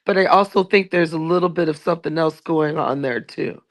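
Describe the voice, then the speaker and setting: A woman's voice: deep voice, a little fast. A woman calling into a casual chat, talking hesitantly with many fillers. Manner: hesitant, filler-heavy talk.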